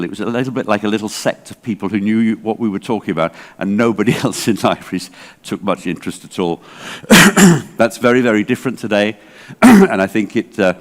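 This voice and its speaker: A man in his sixties with an English accent, giving a chatty, digressive conference talk full of fillers. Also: fairly low pitch. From England. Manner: chatty, digressive, self-deprecating, many fillers. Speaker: library association director. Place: large hall. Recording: long hall reverb.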